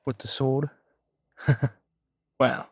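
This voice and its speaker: A man acts out an ancient vampire nobleman for an intimate roleplay audio drama. He speaks very slowly in a medium-pitched male voice, genteel and amused, lingering over his pauses like a doting host. The recording is dry and close. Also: level tone, husky, dry recording. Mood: indulgent. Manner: unhurried, theatrical, savoring pauses with soft chuckles.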